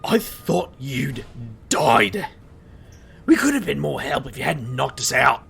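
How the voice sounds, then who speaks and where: A man acts a roguish supernatural leader who teases and protects his crew. The voice is forceful and creaky, swinging freely in pitch. A faint rumbling noise sits under this audio drama performance. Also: sing-song, slow, heavily acted. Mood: concerned. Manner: teasing, protective.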